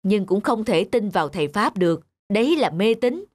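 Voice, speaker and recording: An actor playing a sensible young peasant husband in an animated folk tale, reasoning in a firm, skeptical manner. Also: male voice, sits high, moderate pace, no echo.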